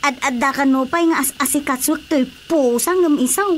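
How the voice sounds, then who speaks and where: A woman playing a lively, defensive wife who dotes on her cat, in an Ilocano radio drama comedy with an Ilocano accent. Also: high voice, moderate pace, a little hiss or hum, natural voice. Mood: complaining.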